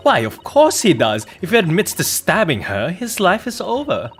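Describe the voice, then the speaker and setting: A man's voice: high voice, wildly swooping pitch, normal speed, faint music behind, pitched above the performer's own voice, heavily acted. A man voicing a haughty, prejudiced upper-class woman juror in a courtroom game, with an affected, condescending manner. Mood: haughty, indignant.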